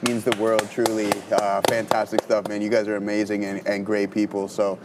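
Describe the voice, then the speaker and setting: A man's voice: on the low side, monotone, moderate pace, very noisy. A man in his thirties co-hosting a live trading stream, talking casually and gratefully with lots of filler words. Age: 30s. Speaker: co-host and trader.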